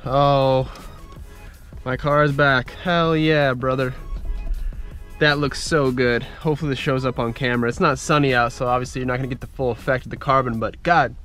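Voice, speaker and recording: A young man with a congested voice, chatting excitedly to viewers as he works on his car in a driveway, recorded on a head-mounted camera. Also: mid-range voice, moderate pace, soft background music. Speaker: car vlogger. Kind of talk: casual point-of-view vlog. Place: outdoors in a driveway, working in a car. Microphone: head-mounted action camera.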